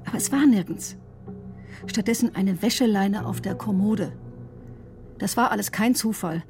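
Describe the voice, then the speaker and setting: Radio drama: sharp-tongued female doctor, played by an actress, controlled, defensive, ordinary mid pitch. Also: moderate pace, soft background music, natural voice. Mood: tense recounting. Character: bitter, sharp-tongued woman doctor recounting her ordeal. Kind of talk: radio drama acting, confessional narration and dialogue.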